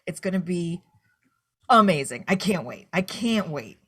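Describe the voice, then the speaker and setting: A woman's voice: lowish voice, lively intonation, unhurried, fairly bright tone, husky, exaggerated voice. A woman playing a thrilled young pop group newcomer in a tabletop game, gushing in a breezy, casual way. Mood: excited.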